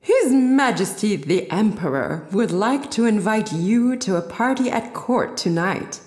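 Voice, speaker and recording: A woman's voice: mid-range voice, animated, a little slow, a little mellow, reverberant, pitched above the performer's own voice, close to an everyday voice. A woman voicing a formal, courtly Prime Minister in a storybook tale, speaking in a proclaiming manner.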